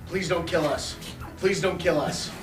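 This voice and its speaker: A man's voice: on the high side, a little slow. A man performing his part in a live comedy script reading. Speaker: table read performer. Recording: echoey room, distant mic, music behind.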